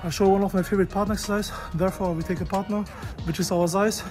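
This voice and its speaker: A man's voice: high-pitched, fairly even intonation, quick pace, over music. A man in his thirties vlogging casually from an open-air Muay Thai gym, blunt and joking, in non-native English. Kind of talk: casual vlog talk.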